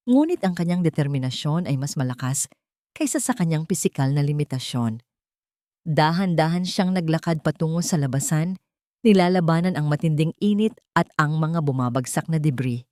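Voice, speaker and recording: A woman delivers scripted, dramatic story narration. Her voice sits fairly low for a woman, and the sound is dry and close. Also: dark tone, dry recording.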